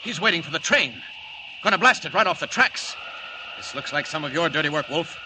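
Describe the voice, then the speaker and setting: An actor playing a resolute flying superhero thinking aloud in old radio drama, in clipped, determined phrases. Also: male voice, a little high, expressive, lightly acted. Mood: alert, resolute. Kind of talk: 1940s radio drama acting. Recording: loud rushing noise, old radio recording.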